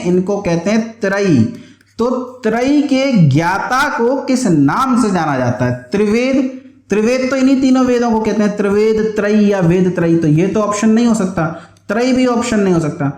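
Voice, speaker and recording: A man with a high-pitched voice teaches an exam-preparation lesson in an echoey room. He explains point by point, posing questions and answering them himself. Key terms get repeated so that students can memorise them. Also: darkish tone. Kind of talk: online history lesson with question and answer drilling.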